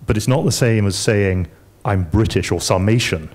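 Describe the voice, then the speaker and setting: Man, low-pitched, lecturing. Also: normal speed, a little mellow. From Scotland. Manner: lecturing, digressive, emphatic. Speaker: panelist historian. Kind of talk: academic panel discussion.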